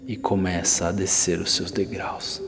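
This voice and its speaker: A man narrating a guided ASMR journey slowly and softly, with pauses and near-whispered moments. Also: low-pitched, little pitch movement. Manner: hushed, unhurried, with soft pauses, sometimes near whisper. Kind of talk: slow immersive ASMR guided narration, second person. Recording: long reverb over the voice. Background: music.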